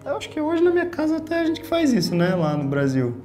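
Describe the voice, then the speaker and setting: A man in his thirties with a São Paulo Brazilian accent, talking casually in an interview with lively gestures and frequent tag questions. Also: very high voice, fast talker, faint music behind. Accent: Brazilian Portuguese, São Paulo. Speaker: YouTube creator. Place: small office studio.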